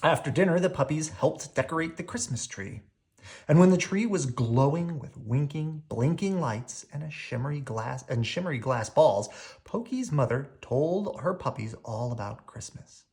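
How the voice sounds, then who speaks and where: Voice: medium pitch, lively intonation, moderate pace, darkish tone. A storyteller reading a children's picture book aloud expressively, drawing out key words.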